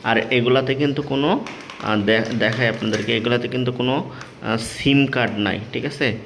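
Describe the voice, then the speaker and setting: A man's voice: mid-range voice, steady tone, normal speed, gravelly, a bit bright, a little hiss or hum. A man with a Bangladeshi accent giving a casual, unscripted product demonstration as a seller, explaining repetitively and often checking that he is understood. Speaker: electronics seller presenting a product tutorial.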